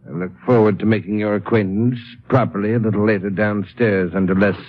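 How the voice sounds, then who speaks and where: An actor playing a fat, courtly, self-satisfied shady traveler in an old radio detective drama, speaking in pompous, formal phrases. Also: male voice, lowish voice, steady tone, brisk, close to an everyday voice. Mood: smug, courtly. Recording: dull, noisy old radio recording.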